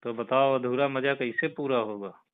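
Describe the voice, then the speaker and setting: A man's voice: medium pitch, steady tone, moderate pace, smooth, no room sound, natural voice. A man playing a flirtatious boss in a phone conversation, coaxing and often checking that he is being followed. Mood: coaxing, flirty. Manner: coaxing, repeatedly checks for agreement. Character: flirtatious male boss coaxing a former employee back. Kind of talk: staged phone call conversation. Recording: tinny phone line.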